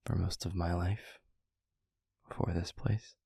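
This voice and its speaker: A man acts out a gentle, reflective demon in an intimate audio roleplay. The voice is very breathy and husky, sitting low and staying even, with soft, measured phrases that hesitate as he thinks. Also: no room sound, clean studio sound, heavily acted. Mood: reflective, earnest. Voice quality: breathy, husky, half-whispered.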